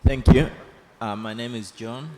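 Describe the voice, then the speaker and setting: A man from Tanzania in the audience, asking the panel a question in a deliberate, searching way. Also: medium pitch, dark tone. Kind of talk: question from the floor. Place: large conference hall. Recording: long hall reverb, faint background noise.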